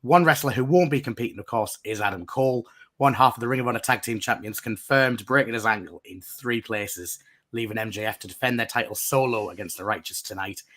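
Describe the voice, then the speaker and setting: A man hosting a wrestling podcast, his voice croaky from being unwell, rambling and restarting. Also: mid-range voice, brisk, fairly bright tone. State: croaky voice from recent illness. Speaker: podcast host. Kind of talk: casual podcast chat and news reading.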